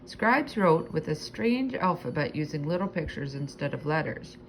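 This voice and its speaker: A woman reading a children's book aloud in an expressive narrating style, stretching key words, with faint background noise. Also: sits low, normal speed, creaky, low-quality recording. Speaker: storybook reader.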